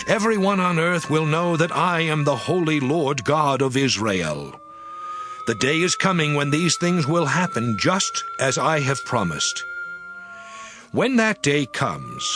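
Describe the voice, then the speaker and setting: An actor playing the Lord God as a stern, solemn divine judge, declaiming in a measured way in an audio scripture drama. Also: male voice, fairly high pitch. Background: music behind the voice.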